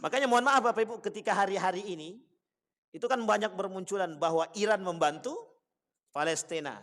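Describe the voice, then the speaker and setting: High-voiced male preacher in a reverberant hall. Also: brisk, fairly bright tone. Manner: emphatic, repeats phrases, asks rhetorical questions. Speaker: Islamic preacher. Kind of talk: religious lecture to a congregation.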